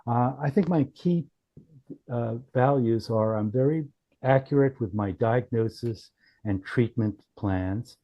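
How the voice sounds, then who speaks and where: A man giving a casual software demonstration in a halting way, thinking aloud as he goes. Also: medium pitch, dull audio. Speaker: company founder demonstrating software. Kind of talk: casual product demo.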